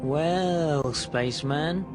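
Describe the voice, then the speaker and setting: A man's voice: a little high, drawn-out words. A man playing a cocky, wisecracking young radar cadet with a casual drawl in a science fiction audiobook. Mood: casual, teasing. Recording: music bed behind the voice.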